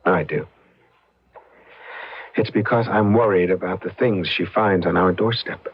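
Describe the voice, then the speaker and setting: Skeptical married charmer, lowish husky voice, animated delivery, old radio drama, dull sound. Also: male voice, measured pace. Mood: uneasy. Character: skeptical, flirtatious married man, smooth-talking and uneasy.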